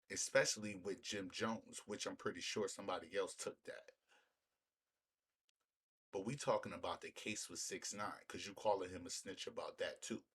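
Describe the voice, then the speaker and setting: Deep, husky man giving opinionated commentary. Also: fairly bright tone. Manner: emphatic, repetitive, rhetorical questions. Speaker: commentator hosting a reaction video. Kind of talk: opinionated street-talk commentary.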